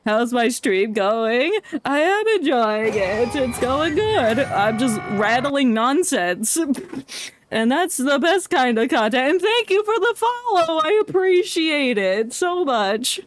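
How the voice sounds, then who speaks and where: A woman's voice: high-pitched, very animated, takes it slowly. A woman live-streaming a game with casual, rambling, joking commentary, often mimicking the characters. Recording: stream with game music behind.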